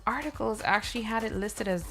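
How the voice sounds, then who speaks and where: A woman's voice: on the high side, a little fast, gravelly, fairly bright tone, soft background music. A woman interviewing a musician, talking in a chatty, direct way and teasing her guest with pointed questions. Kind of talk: casual interview.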